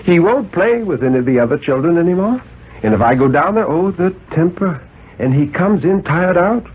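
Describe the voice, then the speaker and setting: A man's voice: fairly high pitch, expressive, moderate pace, husky, phone-quality sound, pitched above the performer's own voice. A man voicing a fretful, doting mother in a dramatic story reading. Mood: fretful, fond. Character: Mrs. Carter, fretful doting English mother.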